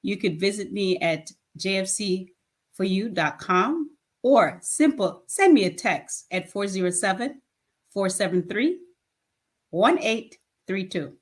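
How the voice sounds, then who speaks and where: A woman's voice: medium pitch, very animated, measured pace. A woman in her fifties answering interview questions conversationally, in a plain-spoken way with short emphatic statements. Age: 50s. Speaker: guest, children's charity founder.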